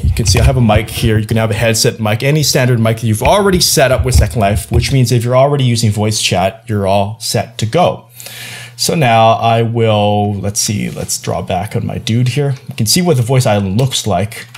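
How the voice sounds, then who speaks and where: A man's voice: fairly low pitch, moderate pace, slight background noise. Young male tutorial presenter, casual and playful, slangy asides, home screencast feel. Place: small indoor room at home.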